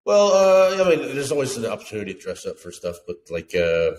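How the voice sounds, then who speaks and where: A man's voice: medium pitch, big pitch swings, a little fast, a little mellow, slight background noise. A man in his thirties, congested and laid-back, chatting on a video call headset. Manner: laid-back, crude humor. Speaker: podcast co-host. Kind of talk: casual chat.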